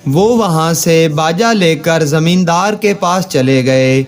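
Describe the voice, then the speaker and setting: A man's voice: a little high, slow pace. A man narrating a scripted children's moral story.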